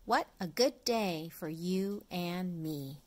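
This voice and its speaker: A middle-aged woman reading an early reader picture book aloud to young children, phrase by phrase in a deliberate way. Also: lowish voice, expressive. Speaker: storyteller, children's read-along host.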